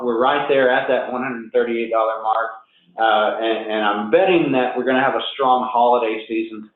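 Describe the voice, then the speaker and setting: A man with a cold gives an upbeat business webinar, sounding a bit hoarse and nasal, with plenty of little fillers and restarts. His voice comes through a tinny, phone-like line. The audio is drenched in heavy echo. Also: medium pitch. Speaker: webinar presenter.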